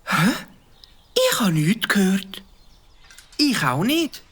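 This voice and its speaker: A woman with a Swiss German accent, voicing a gentle, sentimental young kid in a children's audio drama. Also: medium pitch, big pitch swings, slow, slightly rough, full character voice. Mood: chatty.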